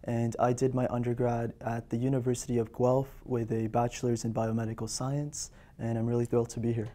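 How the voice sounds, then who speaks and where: A young man from Canada talks as a student guest in an interview. His voice is warm and very mellow, at an average pitch. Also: little pitch movement, a little fast. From Toronto, Canada. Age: young adult. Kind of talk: conversational interview.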